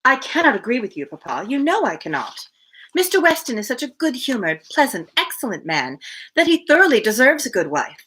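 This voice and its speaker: Bright, coaxing young gentlewoman read by a woman. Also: fairly high pitch, very animated, brisk, slightly rough, pitched above the performer's own voice, exaggerated voice. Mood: cheerful coaxing. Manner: patient, coaxing. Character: cheerful, reassuring young gentlewoman soothing her father. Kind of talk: storybook reading of dialogue.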